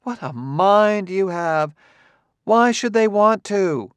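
A man reading an audiobook, voicing a brisk, matter-of-fact woman who runs a children's farm, easily exasperated. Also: sits high, expressive, clear, resonant tone, no echo, voice pushed up. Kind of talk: audiobook character voice.

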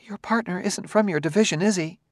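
A man voicing a nervous, worried wife in an audiobook, with a breathless, hurried, fretful manner. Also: on the high side, very animated, no echo, studio quality, pitched above the performer's own voice. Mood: shaky unease. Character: nervous, anxious wife, smiling politely through worry. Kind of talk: audiobook character voice.

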